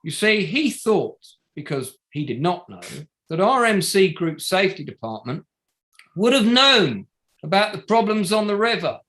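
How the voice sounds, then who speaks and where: An actor playing a probing, sardonic barrister at a public inquiry in a play reading, formal and pointed, pressing with rhetorical questions. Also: male voice, high voice, big pitch swings, moderate pace, fairly bright tone. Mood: sceptical, pressing. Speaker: actor in an online table read. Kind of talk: courtroom-style cross-examination in a play reading.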